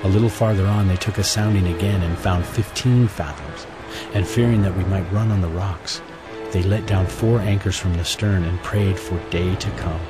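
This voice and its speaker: Deep-voiced male scripture narrator. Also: normal speed. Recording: music bed under the voice.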